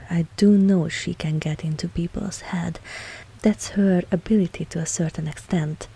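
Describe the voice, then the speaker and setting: A woman playing a dominant, seductive vampire mistress in an intimate ASMR roleplay, speaking softly and with measured, coolly protective authority. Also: fairly low pitch, gravelly, slightly rough, exaggerated voice, rough recording. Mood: protective, reassuring.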